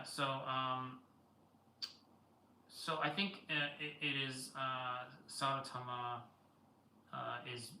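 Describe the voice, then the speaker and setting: A man's voice: mid-range voice, pushed and bright, reverberant, slight background noise, low-quality recording. A man hosting a live stream, talking in a rambling way with many fillers and restarts and greeting viewers as he goes. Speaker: livestream host. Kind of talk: casual live-stream monologue.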